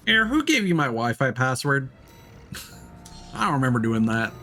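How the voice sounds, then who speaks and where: A man's voice: medium pitch, big pitch swings, a little slow, bright, forceful tone, soft background music. A man doing casual let's play commentary as he streams a video game.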